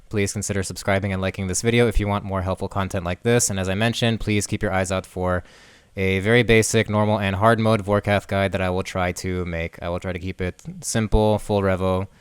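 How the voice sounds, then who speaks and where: A man with a fairly low, clear and resonant voice narrates a video game guide. He explains things plainly, step by step, in the manner of a walkthrough voice-over. Faint music plays behind him. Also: no echo. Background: music under the voice.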